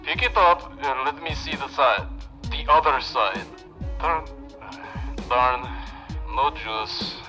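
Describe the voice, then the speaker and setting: An actor as a bossy, exasperated tech guide over music. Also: male voice, medium pitch, takes it slowly, sharp tone. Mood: exasperated, focused. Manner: terse step-by-step commands, exasperated but loyal. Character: bossy tech operator guiding an injured friend remotely. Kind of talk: sci-fi audio drama acting.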